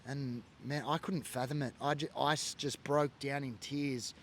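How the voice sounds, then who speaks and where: Aussie guy, mid-pitched voice, earnest and emotional, rambling with lots of ums. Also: fairly even intonation, normal speed, a bit bright, slight background noise. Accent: Australian. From Sydney, Australia. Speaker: former biker sharing his story. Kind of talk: personal testimony monologue.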